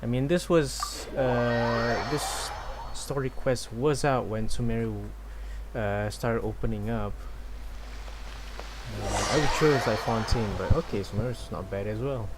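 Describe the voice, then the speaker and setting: A man's voice: medium pitch, fairly even intonation, unhurried, very noisy. A man streaming a game with laid-back, casual commentary, reading dialogue aloud.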